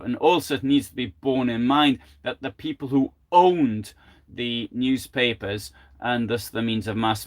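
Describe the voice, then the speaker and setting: An older man giving a live, unscripted history talk from home, thinking aloud with many fillers and restarts. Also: medium pitch. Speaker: history presenter.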